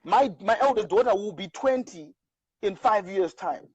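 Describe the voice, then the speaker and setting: A man's voice: sits high, animated, moderate pace, a little mellow, husky, dry recording, dull audio. A middle-aged Zimbabwean man in casual political discussion, emphatic, with stammering restarts. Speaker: show host.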